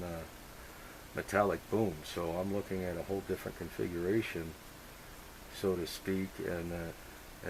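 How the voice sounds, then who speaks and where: A man talking shop as a radio hobbyist, halting and rambling as he thinks out loud. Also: sits low. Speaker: amateur radio operator. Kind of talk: casual technical radio chat. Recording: hissy, noisy radio contact recording.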